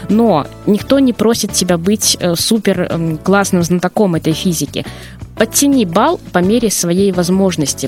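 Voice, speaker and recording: A woman psychologist, giving earnest advice in casual conversation, often pausing with hesitations. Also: medium pitch. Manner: earnest, advising, with frequent hesitations. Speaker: psychologist guest. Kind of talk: casual talk show conversation.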